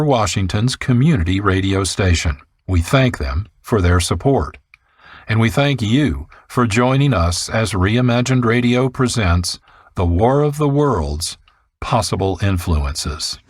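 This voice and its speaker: In a dry, echo-free studio sound, a man hosts a radio programme. His voice sits fairly low. He reads scripted documentary narration, setting out each phrase deliberately. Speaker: radio host and producer.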